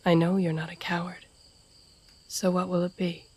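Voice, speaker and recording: A woman's voice: fairly low pitch, monotone, normal speed, darkish tone, breathy edge. A woman playing a guarded, deadly royal assassin and devoted protector in a fantasy audio roleplay, stern and formal, often dropping toward a whisper. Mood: solemn, devoted. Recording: outdoor night ambience with crickets behind. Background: crickets and night nature ambience.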